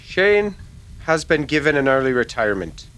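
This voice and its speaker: A man voicing a menacing, controlling Old West crime boss in a radio western, with drawn-out, forceful delivery. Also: mid-range voice, sing-song, voice pushed up. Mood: seething.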